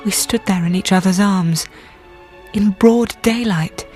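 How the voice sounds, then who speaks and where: An actress plays an earnest British woman doctor in a radio drama. Her speech is precise and restrained, with a literary turn and a middling pitch. Faint music sits behind the voice. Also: unhurried, a little mellow, natural voice. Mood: rapt. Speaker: radio drama actor, narrator character. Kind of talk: radio drama dialogue and first-person narration.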